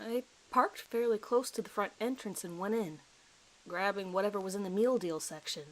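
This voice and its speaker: A woman's voice: medium pitch, lightly acted, poor audio. A nervous suspect in his thirties a man as acted out by a woman gives his account in a dramatized police interview. He is hesitant and apologetic, rambling as he tries to recall details. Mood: hesitant, uneasy.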